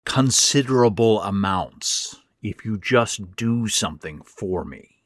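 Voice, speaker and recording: A man voicing a frail, scornful old stepfather in a horror audio story, with a hoarse, rasping voice and a bitter, forceful manner. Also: lowish voice, animated, slow, no room sound, clean studio sound. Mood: insistent.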